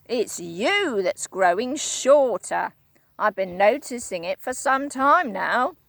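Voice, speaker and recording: A woman voicing a nasty old man who taunts slowly and with relish. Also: a little high, animated, creaky, a bit bright, no room sound, heavily acted. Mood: gloating. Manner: slow, gloating and emphatic. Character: nasty gloating old man, cruel trickster.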